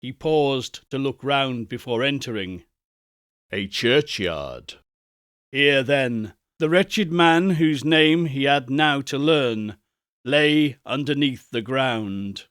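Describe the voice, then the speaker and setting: A man narrating a Victorian ghost story in a measured, theatrical reading. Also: mid-range voice, a bit bright, clean tone, dry recording, studio quality. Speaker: narrator, audiobook reader.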